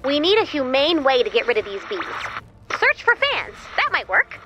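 A woman voicing a cheerful, chatty, excitable robot helper in a video game, with a perky, quirky delivery. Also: high voice, moderate pace, muffled recording, over music. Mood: brisk, upbeat. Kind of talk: video game mission dialogue.

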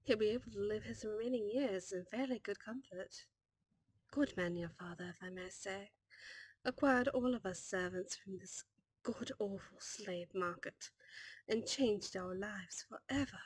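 Kindly older housemaid voiced by a woman, slow and husky, exclamatory, fondly teasing, for an ASMR roleplay. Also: medium pitch, no room sound, poor audio. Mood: fond, chatty. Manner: gushing, motherly teasing, exclamatory. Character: kindly, fussy older female household servant to a lord.

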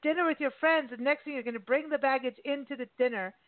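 Laughing woman host, slightly high voice. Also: no room sound. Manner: laughs often, quick reactions. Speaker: radio host. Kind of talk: casual radio interview chat. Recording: dry, tinny phone line.

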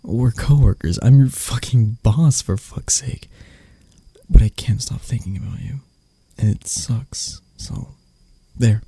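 A man playing an arrogant, prickly CEO boss who turns vulnerable and flirty, in an intimate, halting ASMR roleplay that often drops toward a whisper. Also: medium pitch, mellow, husky, no room sound, full character voice. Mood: tender, halting.

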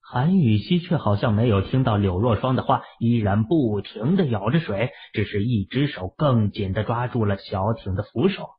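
A man narrating an audio drama in a steady storytelling manner, with a husky, breathy voice. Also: lowish voice, normal speed, few pauses. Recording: muffled lo-fi audio.

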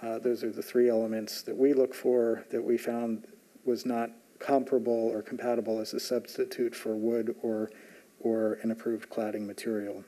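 A man on a zoning staff presenting a report at a public hearing, measured, with frequent "uh" fillers. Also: mid-range voice, level tone, dark tone, low-quality recording. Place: large municipal meeting room.

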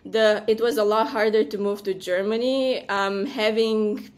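A woman's voice: mid-range voice, steady tone, a little slow. A young woman from Romania with a Romanian accent, answering interview questions conversationally as a guest. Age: young adult. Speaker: podcast guest, venture capital partner. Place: room at home with bookshelves.

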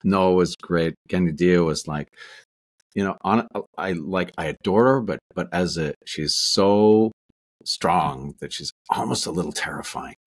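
A man's voice: low voice, a little slow. A middle-aged man, an actor, telling rambling stories over a video call in a small room at home. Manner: rambling storyteller, many restarts and asides. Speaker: actor and guest. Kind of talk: casual interview chat. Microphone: webcam on video call.

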